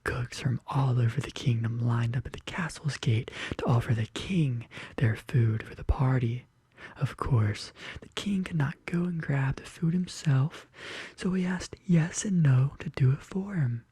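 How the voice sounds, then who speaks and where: A soft-spoken narrator telling an ASMR bedtime story in a gentle, breathy whisper, slowly and deliberately, close to the microphone. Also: mid-range voice, no echo. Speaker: ASMR video host. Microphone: close studio condenser microphone.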